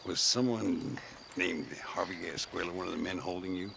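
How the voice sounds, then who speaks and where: A deep, heavily creaking growl, a world-weary older gunman in a video game western. His voice is gravelly, with clear noise behind it. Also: normal speed, a bit bright, exaggerated voice. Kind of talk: video game cutscene acting. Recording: game audio with background noise.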